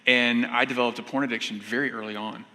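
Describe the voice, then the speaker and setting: A man's voice: mid-range voice, fairly even intonation, fast talker, bright, forceful tone. A man in his thirties with an American accent, giving informal personal testimony as a seminar co-presenter. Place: on stage in an auditorium, seated at a high table. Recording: echoey hall, stage sound.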